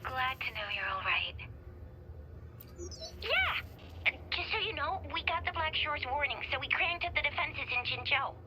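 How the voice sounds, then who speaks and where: A woman's voice: sits high, very animated, measured pace, long pauses, background noise. A woman playing a friendly, caring young female comrade, earnest and encouraging, in a game. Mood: relieved, spirited. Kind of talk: animated game character acting.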